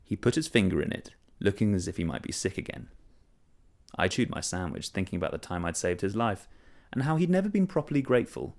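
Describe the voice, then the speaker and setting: A man reading a short story aloud, telling it in a measured, wry first-person voice. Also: low voice, big pitch swings. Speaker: story reader. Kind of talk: scripted narration of a short story.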